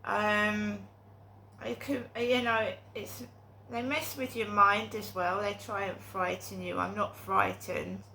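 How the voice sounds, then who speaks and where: A woman's voice: medium pitch, steady tone, slow, gravelly, bright, forceful tone, slight background noise. A middle-aged woman with a cold and sore throat, talking in a rambling, hesitant monologue full of ums and restarts. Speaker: vlogger.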